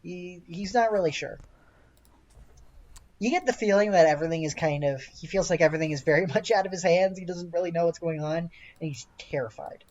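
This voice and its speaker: A man's voice: a little high, a little fast, darkish tone, lo-fi sound. A man running a tabletop game as game master, narrating casually and improvising as he searches for words.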